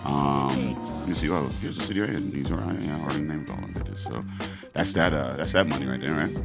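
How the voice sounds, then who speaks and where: Male podcast host, deep voice, relaxed plug-reading delivery, studio mic, music bed. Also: brisk, vocal fry. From Pittsburgh, USA. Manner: laid-back, loose, swearing, many fillers. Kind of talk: casual podcast chat, reading sponsor plugs.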